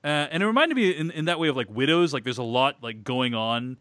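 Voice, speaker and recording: A man's voice: medium pitch, expressive, fast talker, fairly bright tone, no echo. Rambling man hosting a film podcast. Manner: rambling, many restarts and fillers. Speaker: podcast host. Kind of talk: casual film discussion.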